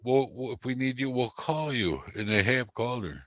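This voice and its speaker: A man's voice: mid-range voice, animated, normal speed, fairly bright tone, dry recording. A man in his sixties chatting easily in a casual group call. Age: 60s.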